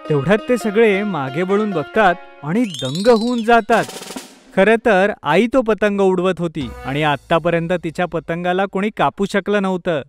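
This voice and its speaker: A man's voice: high-pitched, normal speed, faint music behind. A man narrating a scripted children's story.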